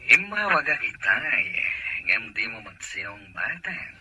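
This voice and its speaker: A man's voice: medium pitch, very animated, a little slow, sharp tone, breathy edge, faint music behind, heavily acted. An actor playing an insistent male suitor speaking through a telephone effect in a radio drama.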